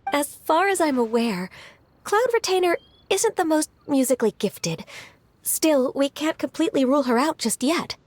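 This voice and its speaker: An actress playing a gentle, shy young woman in a video game, soft-spoken, earnest and a little hesitant. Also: high voice, lively intonation, moderate pace. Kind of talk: video game character acting. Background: music.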